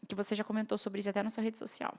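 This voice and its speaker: Brazilian woman interviewer with creaky voice. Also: mid-range voice, monotone, very fast, a little mellow. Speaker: podcast host, interviewer. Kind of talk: casual interview chat.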